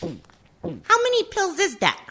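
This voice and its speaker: A nagging mob wife and mother from a comic radio play, voiced by a woman. Her voice is high, bright and forceful, swinging widely in pitch. She scolds with sharp indignation. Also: wildly swooping pitch, takes it slowly, creaky, heavily acted. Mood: indignant, scolding.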